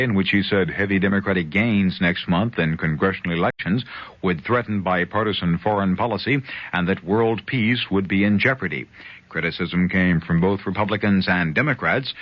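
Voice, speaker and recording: A man reading a radio news bulletin in a measured, formal way. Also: low voice, no echo. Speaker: newsreader. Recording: lo-fi 1970s radio with faint hiss.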